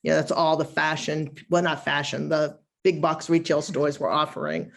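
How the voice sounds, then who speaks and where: A woman's voice: deep voice, level tone, normal speed, gravelly. A woman with a Boston accent speaks as a wardrobe stylist on a video call. Her delivery is chatty and emphatic, and she laughs easily. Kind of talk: casual live webinar talk.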